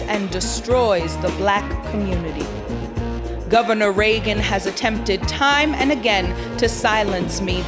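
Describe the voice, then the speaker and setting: An actress playing a solemn, defiant young woman reading a political statement in a measured, emphatic way in an audio drama. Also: on the high side. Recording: clear music bed behind.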